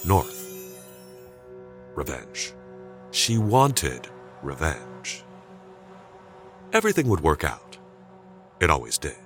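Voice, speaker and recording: A male storyteller with a very low voice and expressive, wide-ranging intonation. He reads scripted fiction with suspenseful, deliberate phrasing. Music plays clearly behind him. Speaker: storyteller, narrator. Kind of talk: dramatic scripted narration.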